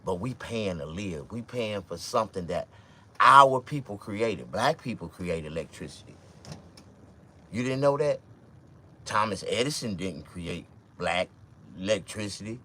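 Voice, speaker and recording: Mississippi man, about fifty, very low voice, rambling profane riffs to camera, faint room hum behind. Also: expressive, measured pace. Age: 50s. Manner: profane, loose and rambling, with sudden loud outbursts. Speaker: vlogger and stand-up comedian. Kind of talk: rambling casual monologue.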